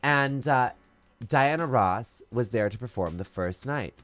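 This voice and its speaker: A man's voice: medium pitch, lively intonation, a little slow, fairly bright tone, soft background music. A man who performs as a drag queen, telling stories in a casual interview with a strong, theatrical, flamboyant voice. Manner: flamboyant, theatrical storyteller with big swoops.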